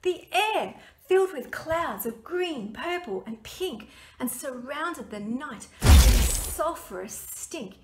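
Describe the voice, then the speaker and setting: A woman reads a children's story in a playful, dramatic way. Her voice is fairly high and very animated, with room echo and music behind her. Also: slow, slight background noise. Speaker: author reading own book. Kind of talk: expressive children's storybook narration.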